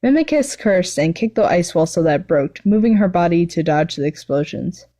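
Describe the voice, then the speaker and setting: A woman's voice: on the low side, expressive, moderate pace, mellow. A woman giving an amateur, self-deprecating story reading with casual chat, stumbling over words now and then. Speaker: fan fiction writer and reader, channel host.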